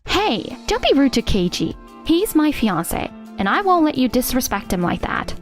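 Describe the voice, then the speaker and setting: A woman playing a sweet, loyal young fiancée in a manga dub, earnest and gentle but firm. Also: high voice, sing-song, normal speed, soft background music. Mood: firm, indignant. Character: sweet, loyal young fiancée who firmly defends her partner. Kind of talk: animated manga dub dialogue.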